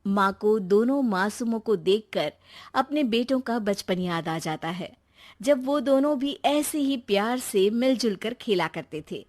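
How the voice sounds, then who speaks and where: Woman storyteller, middle-pitched, for scripted moral tale narration. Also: darkish tone.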